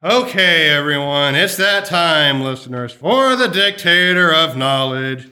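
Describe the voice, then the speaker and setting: A man's voice: fairly high pitch, expressive, measured pace, pushed and bright. A man co-hosting a live radio-style comedy show in a grumpy, exasperated, sarcastic manner. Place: comic shop, live before audience. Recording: echoey live room recording.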